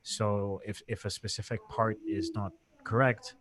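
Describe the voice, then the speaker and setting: A man hosting a casual livestream interview, talking in a relaxed, chatty way with many fillers and restarts. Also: lowish voice, unhurried, noisy background. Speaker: interview host.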